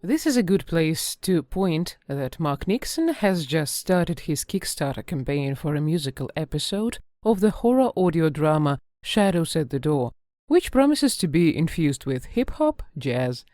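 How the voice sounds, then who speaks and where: A woman's voice: low voice, mellow, no echo. A woman podcast host reading scripted commentary with a wry touch.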